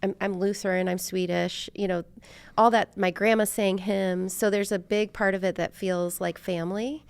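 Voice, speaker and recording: A woman's voice: mid-range voice, steady tone, moderate pace, gravelly, smooth. A middle-aged American woman hosting a podcast, talking in a chatty, informal and reflective way. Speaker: podcast host. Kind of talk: casual conversational interview.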